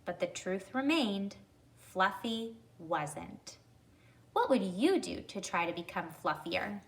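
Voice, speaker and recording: A woman reading a children's story aloud, addressing young listeners deliberately and pausing to ask them questions. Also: mid-range voice, big pitch swings.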